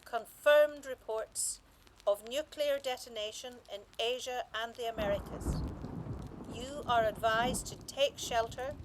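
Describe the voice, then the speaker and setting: An actress playing a grave government announcer reading a formal emergency broadcast in an audio drama. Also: female voice, fairly high pitch, natural voice. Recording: clearly noisy broadcast recording.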